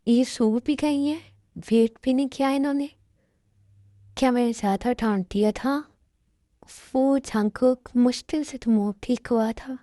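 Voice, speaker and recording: A woman's voice: sits high, steady tone, unhurried, dark tone, faint music behind. Woman voicing a stern young husband. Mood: tired.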